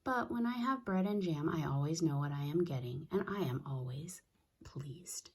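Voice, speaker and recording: A woman's voice: sits low, lively intonation, moderate pace, noisy background. A chatty little girl from a storybook, as a woman reader acts her out. She is fussy and fond of jam, speaking in a childlike, particular way. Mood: self-satisfied. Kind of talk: children's storybook read-aloud.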